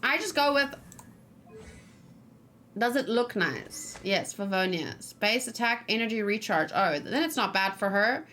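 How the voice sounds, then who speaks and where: A woman's voice: on the high side, lively intonation, unhurried, a bit bright. Woman gamer chatting casually over background noise. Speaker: gaming streamer, host. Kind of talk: casual live let's play chat, thinking aloud. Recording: noisy, with keyboard typing and clicking. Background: background noise, computer keyboard and mouse clicks.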